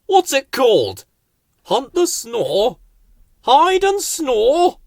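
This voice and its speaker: A man voicing a slow, teasing tortoise in a children's storybook, loud and nagging, with drawn-out emphatic words. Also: extremely high pitch, wildly swooping pitch, gravelly, no room sound, clean studio sound, voice pushed up, exaggerated voice.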